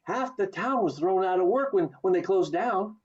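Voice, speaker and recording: Radio drama: male actor as an earnest, stubborn young man, emphatic and very animated, dulled by a video-call line. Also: high voice, moderate pace. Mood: guarded, earnest. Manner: stammering, emphatic. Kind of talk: amateur radio play acting. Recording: muffled video call audio.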